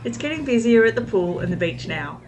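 A middle-aged woman narrating a travel vlog voice-over, calm and informative, giving tips and recommendations. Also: fairly high pitch, fairly quick, music in the background, low-quality recording. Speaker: travel vlogger. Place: outdoors at a riverside city park.

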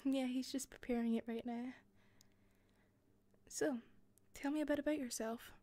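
A woman with a softened Scottish accent playing an attentive hostess in a roleplay, speaking softly into a close mic with frequent giggles and whispers. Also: sits high, steady tone, measured pace, no room sound. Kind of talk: listener-directed roleplay.

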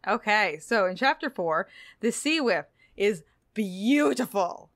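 A woman's voice: mid-range voice, lively intonation, slow pace, fairly bright tone, no echo, studio quality. A woman co-hosting a podcast, chatting casually and playfully about books. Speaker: podcast co-host. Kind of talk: casual, playful book discussion.

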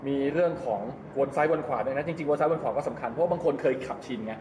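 A man's voice: a little high, quick pace. A middle-aged man, chatting casually and explaining things to a camera as he gives a walk-through tour. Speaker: vlogger giving a tour. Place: large open-sided go-kart pit hall. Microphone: 360 camera at arm's length. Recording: roomy echo, loud ambient noise.

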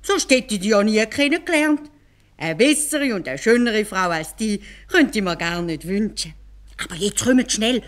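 A woman's voice: medium pitch, lively intonation, normal speed, voice pushed up, lightly acted. A woman voicing a caliph from a fairy tale, reluctant and exclaiming, in Swiss German. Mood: overjoyed. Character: reluctant, grumbling caliph turned stork.

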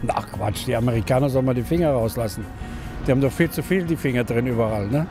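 A man's voice: mid-range voice, fast talker, music in the background. An older man passer-by giving blunt, dismissive answers on a city street. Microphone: handheld mic held by interviewer.

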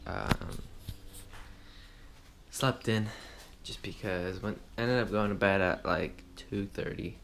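A young man in his twenties talking casually to a handheld camera, relaxed and drowsy, trailing off with uhs. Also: on the low side, slow pace, creaky, noisy background. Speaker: vlogger. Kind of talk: casual vlog chat. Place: house room and car, indoors. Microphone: action camera held at arm's length.

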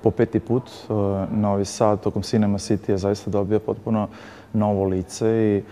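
Male, thirties, lowish and mellow, halting interview delivery with very little inflection. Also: little pitch movement, a little hiss or hum. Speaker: festival director. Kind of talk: interview answers. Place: indoor festival hall. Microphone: lapel.

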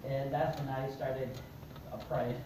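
A man's voice: medium pitch, a little slow, noisy background. A middle-aged man from Mexico with a Mexican accent, telling his life story to an audience and acting out the dialogue. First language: Spanish. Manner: animated storyteller, acts out dialogue. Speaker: motivational speaker. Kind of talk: personal story talk to an audience. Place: conference room with seated audience. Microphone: far camera in the audience. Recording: echoey room, recorded from far off.

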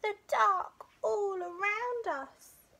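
A woman's voice: very high-pitched, very slow, gravelly, pushed and bright, voice pushed up, heavily acted. A woman voicing a small, scared young male bear cub in a plaintive, whining way for a storybook.

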